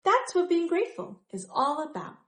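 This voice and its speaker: A woman's voice: extremely high pitch, wildly swooping pitch, moderate pace, fairly bright tone, roomy sound, pitched above the performer's own voice, exaggerated voice. A woman voicing an earnest animal scribe in a children's storybook, declaiming and admonishing with emphatic, preachy delivery. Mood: concerned.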